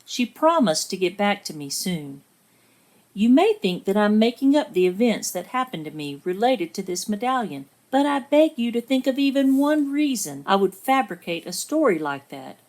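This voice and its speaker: An actress playing an earnest woman narrating her own letter, measured and sincere, in a scripted drama. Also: medium pitch, big pitch swings, a little mellow, close to an everyday voice. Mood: earnest, pleading.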